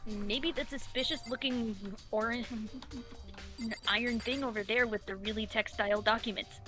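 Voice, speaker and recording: A woman's voice: fairly high pitch, fairly even intonation, normal speed, bright, forceful tone, soft background music. A woman in casual gaming chat, close on the microphone.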